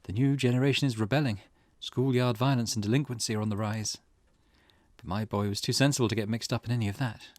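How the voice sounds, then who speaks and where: A man's voice: mid-range voice, steady tone, quick pace, dry recording, close to an everyday voice. A man voicing a weary, grieving middle-aged mother from a visual novel, reading her old-fashioned, wistful thoughts aloud.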